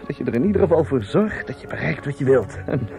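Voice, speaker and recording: A man's voice: medium pitch, animated, fast, over music, close to an everyday voice. An actor in a radio drama playing a flustered, self-satisfied male opera impresario, muttering and hesitant or pompously speechifying.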